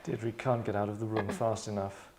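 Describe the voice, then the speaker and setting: A man's voice: lowish voice, steady tone, moderate pace, darkish tone. Man hosting a script read, echoey room. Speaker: writer, director and host. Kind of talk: reading stage directions and informal script discussion.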